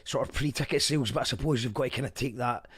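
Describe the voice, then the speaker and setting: A man hosts a casual radio chat at a mid pitch. He talks in a friendly, halting way, with fillers and repeated words as he gathers his thoughts. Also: husky. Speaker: radio show host. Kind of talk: casual interview chat, reading listener comments.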